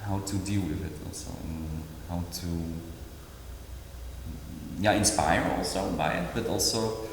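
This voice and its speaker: A very deep-voiced man in his forties talks haltingly, with lots of fillers and restarts. He was born in Indonesia and answers interview questions as an artist. The recording comes from a large room with a strong echo, a long reverb and a faint hiss. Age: 40s. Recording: echoey hall with faint noise.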